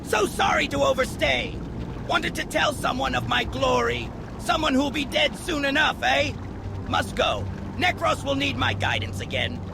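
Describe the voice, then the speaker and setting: A man's voice: sing-song, moderate pace, background noise, exaggerated voice. A man voicing a gleeful, treacherous little goblin who taunts in sing-song repetitions in a fantasy game cutscene. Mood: smug taunting. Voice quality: shrill, creaky. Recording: loud music behind. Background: music.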